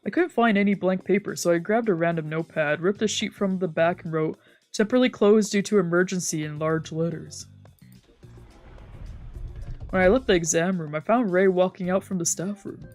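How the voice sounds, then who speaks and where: A woman streamer reading a visual novel aloud with steady narration. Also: lowish voice, brisk, soft background music.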